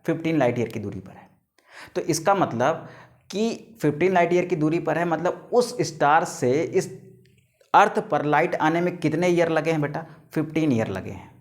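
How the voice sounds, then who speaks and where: A man's voice: fairly high pitch, expressive. A man in his thirties teaching biology, who calls his students "beta", asks them questions and answers them himself, and stretches terms out word by word. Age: 30s. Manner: stretches key English terms out slowly, word by word. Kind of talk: classroom lecture to students.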